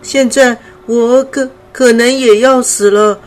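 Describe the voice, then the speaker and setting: A woman voicing a small, sickly grey butterfly in a storybook, with a high, childlike, breathless voice. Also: normal speed. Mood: weak, pleading. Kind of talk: storybook character voice.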